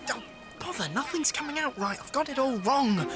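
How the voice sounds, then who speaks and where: Young marten, acted out, highish pitch, polite, fussy, easily flustered, old-fashioned phrasing, storybook audio drama. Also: lively intonation, moderate pace. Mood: flustered, apologetic. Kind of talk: audio drama character acting. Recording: loud music underneath.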